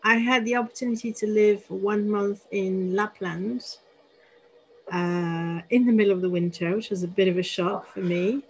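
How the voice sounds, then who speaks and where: A woman's voice: mid-range voice, steady tone, normal speed, husky, rough recording. A woman hosting a conversational interview, asking questions and sharing her own reflections. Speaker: interviewer, host.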